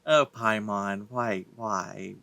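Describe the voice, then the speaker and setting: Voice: low voice, very slow, creaky, a bit bright, no room sound. A gamer giving casual let's play commentary, reacting to the dialogue with playful sarcasm.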